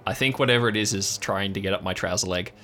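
A man's voice: low-pitched, fast, bright, forceful tone. Guy doing a jumpy cautious man in a roleplay drama, urgent and hesitant, music behind him. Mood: uneasy. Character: nervous male companion, cautious, easily panicked.

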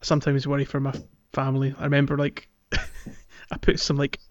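A man's voice: medium pitch, completely flat delivery, brisk, no room sound. A man chatting casually and jokily as a gaming podcast co-host. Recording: slightly dull, lo-fi microphone.

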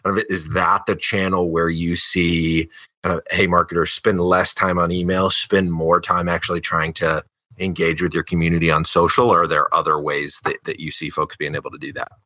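A man's voice: low-pitched, flat delivery, normal speed, pushed and bright, no room sound. A man hosting a podcast, interviewing in a casual, conversational way. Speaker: podcast host.

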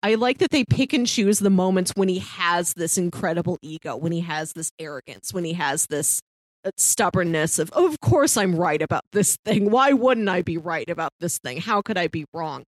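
A woman's voice: on the low side, expressive, normal speed, dry recording. A woman co-hosting a podcast in casual film discussion, opinionated in how she talks. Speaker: podcast co-host.

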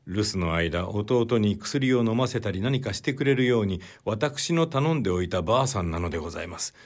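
A male narrator plays a humble, troubled man confessing in a period story. His voice is on the low side, and he recounts things in polite, measured phrases. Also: lively intonation. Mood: grave, humble.

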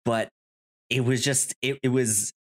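Casual podcast host with a halting delivery. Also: mid-range voice, fairly even intonation. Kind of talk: casual review chat.

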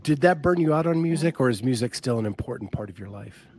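A man hosting a podcast, asking relaxed questions in a casual interview. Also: mid-range voice, fast talker. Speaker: podcast host.

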